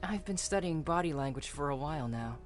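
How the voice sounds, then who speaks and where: A woman's voice: deep voice, lively intonation, fairly quick. A woman voicing a timid, observant youngster in a visual novel, with a hesitant, earnest manner. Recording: prominent music behind the voice.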